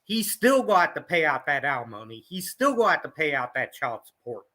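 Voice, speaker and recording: Blunt high-voiced man from Ohio. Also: sing-song, moderate pace, pushed and bright. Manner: blunt, repeats "pure and simple". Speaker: guest panelist. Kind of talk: online panel discussion.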